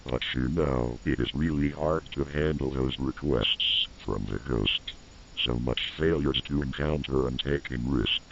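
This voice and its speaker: Through a dull tape with faint hiss comes a menacing analog horror entity. The voice is extremely low and heavily creaking. It taunts and needles whoever is listening, speaking straight at them. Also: male voice, exaggerated voice. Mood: mocking.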